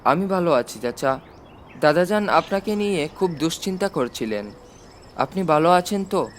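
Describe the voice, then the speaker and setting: A polite, inquisitive young niece read by a man in a storybook. The pitch is high for a man and the manner earnest and caring, over faint background noise. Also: expressive, moderate pace, close to an everyday voice. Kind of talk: storybook reading.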